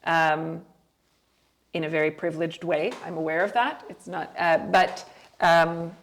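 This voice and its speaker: A middle-aged woman from Canada whose first language is French, talking expressively in a relaxed interview, with frequent laughs and thoughtful restarts. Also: deep voice, a little slow. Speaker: guest. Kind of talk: casual conversational interview.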